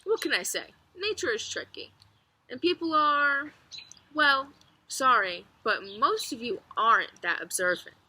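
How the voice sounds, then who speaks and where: A woman reading a children's book aloud as narrator, expressively, with clear storytelling phrasing and emphasis. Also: sits high, lively intonation, a little slow, bright, forceful tone.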